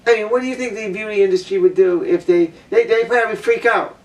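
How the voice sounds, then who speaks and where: A woman with a low voice giving a blunt opinion monologue, repeating her points for emphasis. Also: a little mellow, distant mic, low-quality recording. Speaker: vlogger.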